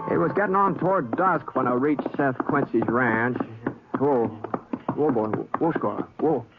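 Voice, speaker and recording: Easygoing Old West drifter played by a man, American drawl, gentle and hesitant, on a tinny, noisy vintage radio recording. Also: medium pitch, measured pace, natural voice. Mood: musing, unhurried. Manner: folksy, hesitant, plain-spoken drawl. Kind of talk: 1950s radio drama acting and first-person narration.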